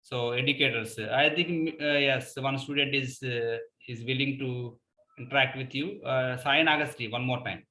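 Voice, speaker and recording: A man's voice: mid-range voice, fairly even intonation, moderate pace, pushed and bright. A man with an Indian English accent hosting and moderating a video call session, speaking formally and courteously to the audience. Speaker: host and moderator. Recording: echoey room.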